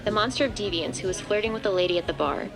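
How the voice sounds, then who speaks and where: A woman's voice: mid-range voice, racing. A woman giving scripted narration for a horror audio drama in plain storytelling sentences. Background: music.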